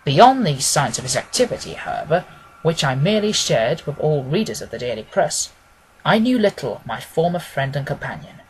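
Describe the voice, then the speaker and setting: A man narrating a classic detective story as an audiobook, reading in a measured, formal, expressive way. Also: a little high, slight background noise, low-quality recording.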